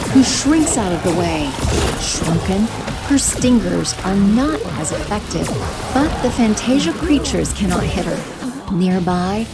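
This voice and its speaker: Woman narrating an action story. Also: medium pitch. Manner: steady, descriptive storytelling.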